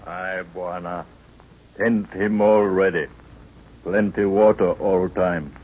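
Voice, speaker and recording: Huge obedient native prince, deep, very slow, terse broken English, husky, old muffled radio drama. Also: male voice, fairly even intonation, darkish tone, heavily acted. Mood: stolid, obedient. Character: huge, stolid African prince serving as expedition helper.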